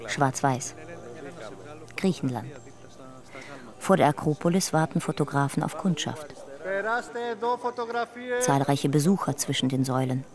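A man's voice: medium pitch, unhurried, long pauses, lightly acted. A man acting as a terse narrator in a radio play, reading clipped, factual documentary-style notes. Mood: factual, wry.

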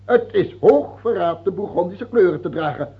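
Husky, capricious tyrant king. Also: sits high, animated, normal speed, exaggerated voice. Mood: sly, taunting. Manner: imperious, taunting, hesitating then outbursting. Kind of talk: theatrical radio drama acting. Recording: muffled, phone-like old radio play recording.

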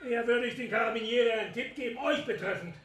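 An actor playing a shady, irritable antiques dealer in a radio crime drama, sharp-tongued and evasive, with an agitated manner. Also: male voice, very high-pitched, quick pace. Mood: indignant, threatening. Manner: agitated, indignant. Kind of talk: German radio play acting. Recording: roomy, distant, faint background noise.

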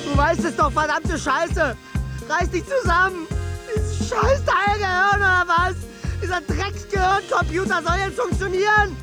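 Raging businessman in radio drama, shrieking way up high, swearing, music behind. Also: unhurried. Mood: furious, tearful. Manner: shouting, cursing. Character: enraged, tearful businessman screaming at his own brain.

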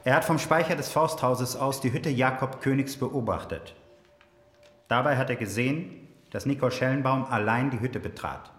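A man's voice: mid-range voice, normal speed. Male radio drama narrator, roomy sound.

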